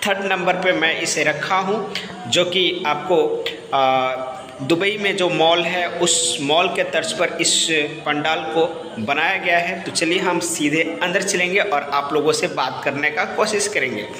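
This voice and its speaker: A man's voice: on the high side, measured pace, pushed and bright. A man, speaking casually to viewers as a vlogger on a phone held at arm's length outdoors. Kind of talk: casual festival vlog, addressing viewers. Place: crowded outdoor festival ground at night. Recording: echoey, reverberant phone recording outdoors.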